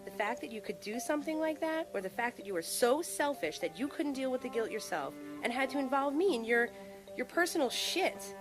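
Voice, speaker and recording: A woman's voice: a little high, lively intonation, fairly quick, music in the background, natural voice. A young woman playing a rebellious, sarcastic teenage girl arguing with her strict father. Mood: defiant. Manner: sarcastic, defiant.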